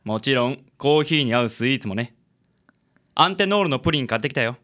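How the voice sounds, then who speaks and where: A man acts out a handsome charmer in his thirties for a radio drama. He talks quickly, with theatrical, flowery gallantry and a gentlemanly air. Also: medium pitch, lively intonation, close to an everyday voice. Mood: breezy, suave. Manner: smooth-talking, theatrical, gentlemanly. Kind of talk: Japanese radio drama acting.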